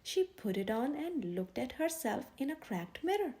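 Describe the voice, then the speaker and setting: A woman narrates a children's storybook in a high, mellow voice. Her phrasing is expressive, with plenty of rise and fall. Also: moderate pace. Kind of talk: children's picture book read aloud.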